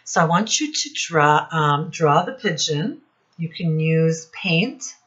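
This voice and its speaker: A woman's voice: sits low, expressive, takes it slowly, fairly bright tone, highs cut off. An American woman hosting a storytime lesson for young children, asking questions and addressing them directly as their teacher. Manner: instructive, asks questions, addresses listeners directly. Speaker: children's teacher and read-aloud host. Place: home office classroom.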